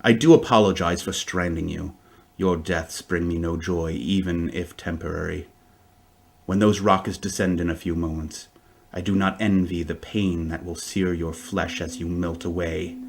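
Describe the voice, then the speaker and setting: A man's voice: sits low, fairly even intonation, moderate pace, creaky. A man playing a vengeful, grandiose villain who orates solemnly and theatrically in an audio drama. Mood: grave, menacing. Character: vengeful, grandiose male time-travelling villain delivering doom monologue.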